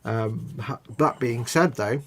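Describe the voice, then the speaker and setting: A man's voice: mid-range voice, slow pace, gravelly, slight background noise. A British man hosting a live model-building stream, chatting casually and self-deprecatingly, often restarting his sentences. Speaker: host of a model-building channel. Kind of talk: casual chat on a livestream.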